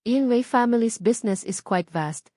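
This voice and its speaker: A woman's voice: fairly high pitch, animated, moderate pace, warm tone, no echo, studio quality. A woman with a Filipino accent voicing an innocent, admiring teenage girl in an audio drama. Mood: confiding.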